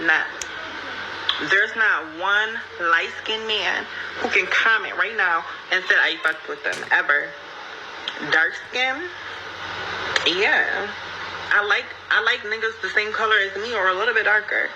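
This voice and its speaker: A dull, lo-fi recording with clear noise carries a woman's voice at a middling pitch. She rants casually, emphatic and repetitive, as in a social media clip. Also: unhurried. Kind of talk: casual opinionated rant. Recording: muffled, noisy lo-fi clip playback.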